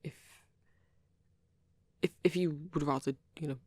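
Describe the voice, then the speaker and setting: A woman voicing a shy, nervous young male vampire in a soft ASMR roleplay, hesitant and stammering, with a breathy, husky voice. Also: low-pitched, fairly even intonation, a little slow, no echo. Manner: hesitant, stammering, self-doubting. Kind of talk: ASMR boyfriend roleplay, improvised rambling.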